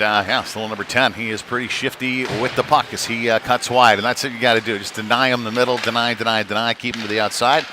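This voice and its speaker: A man giving live play-by-play of youth hockey in a conversational, folksy manner, with pauses between calls. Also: mid-range voice. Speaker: play-by-play commentator. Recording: noisy live rink feed.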